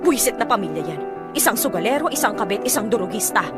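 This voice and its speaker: Woman playing resentful sister-in-law, Filipino accent, radio drama, rapid, swooping pitch, sarcastic scolding. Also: animated, loud music behind the voice, natural voice. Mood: angry, resentful. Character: fed-up, sharp-tongued married sister-in-law, resentful and accusing. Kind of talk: Tagalog radio drama acting.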